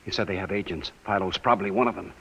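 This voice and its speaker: A man's voice: sits low, very fast, vocal fry, fairly bright tone, slightly rough, exaggerated voice. An American actor playing an urgent, commanding man in a 1950s radio drama, giving clipped orders and reassurance. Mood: tense, persuasive. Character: urgent, commanding man secretly leading a fugitive into hiding. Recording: noisy, narrow old 1950s radio recording.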